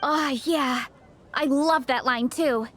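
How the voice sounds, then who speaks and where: A woman voicing an energetic, big-eating young comic superfan in an anime video game, earnest and enthusiastic. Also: high voice, slow pace, slight background noise. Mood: admiring. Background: game music.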